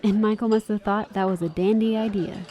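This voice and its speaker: A woman's voice: mid-range voice, steady tone, brisk, dark tone, lightly acted. A woman playing a pointed, determined, sardonic wife in a radio drama. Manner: pointed, questioning. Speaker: amateur radio drama actor.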